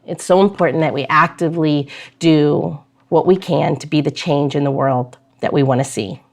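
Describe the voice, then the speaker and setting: A woman's voice: low-pitched, vocal fry. A woman hosting a podcast in a chatty, informal, joking way. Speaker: podcast host.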